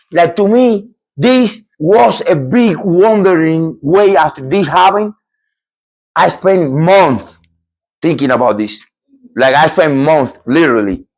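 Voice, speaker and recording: A middle-aged man from Cuba, hosting a podcast and telling a true story in an animated, emphatic way with many repeats and restarts. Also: fairly high pitch. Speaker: podcast host. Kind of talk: storytelling a true scary encounter.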